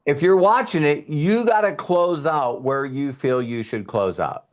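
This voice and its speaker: A man's voice: a little high, measured pace. A man hosting a casual group call, giving frank, informal trading advice with some mild swearing. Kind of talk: casual coaching chat.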